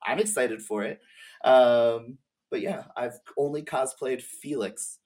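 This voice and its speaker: A man's voice: mid-range voice, takes it slowly, a little mellow. Man, young adult, mid-range voice, casual chat, effusive, slangy, lots of "like". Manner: effusive, slangy, uses "like" heavily. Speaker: podcast guest, game streamer.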